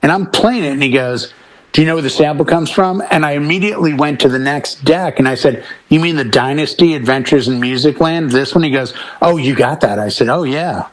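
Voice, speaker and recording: Animated older man telling stories. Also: on the high side, brisk, rough recording. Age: 80s. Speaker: DJ and panel member. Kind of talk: casual panel chat with anecdotes.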